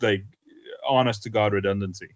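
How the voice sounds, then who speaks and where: A man co-hosting a podcast in casual, unscripted discussion, haltingly searching for his words and repeating phrases. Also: on the low side, fairly even intonation, no echo, clean studio sound. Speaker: podcast co-host.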